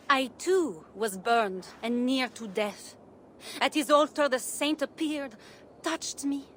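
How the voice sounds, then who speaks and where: A woman's voice: on the high side, fairly bright tone, slight background noise. A woman playing a pious, fervent preacher in a video game, solemn and dramatic in her delivery. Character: fervent, pious female preacher claiming holy healing powers. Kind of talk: video game sermon acting.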